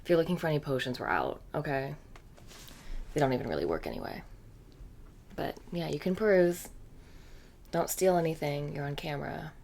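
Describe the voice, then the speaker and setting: A woman playing a bored, indifferent gift-shop clerk in a soft-spoken ASMR roleplay, offhand and deadpan. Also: low voice, moderate pace, vocal fry, long pauses, slight background noise. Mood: bored, dismissive.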